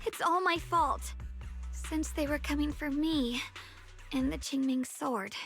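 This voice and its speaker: A woman's voice: sits high, takes it slowly, a bit bright, faint music behind, heavily acted. An actress playing an earnest, kind young swordswoman in a video game. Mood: worried. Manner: earnest, emphatic.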